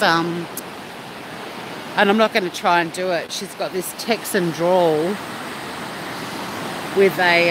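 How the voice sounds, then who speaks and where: An Australian woman hosts a casual live chat outdoors by the beach. She talks at an unhurried pace in a middle-pitched voice, with a blunt, playful manner. A strong wash of water or wind noise sits behind her. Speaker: live stream host. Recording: loud steady wind or surf noise.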